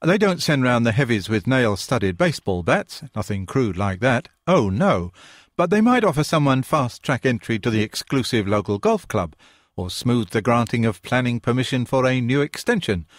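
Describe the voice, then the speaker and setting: Opinionated bearded landlord, read by a man in a raised voice; audiobook figure, gruff and quick-tempered. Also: mid-range voice, no echo, clean studio sound, voice pushed up, lightly acted. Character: blunt bearded male pub landlord, opinionated.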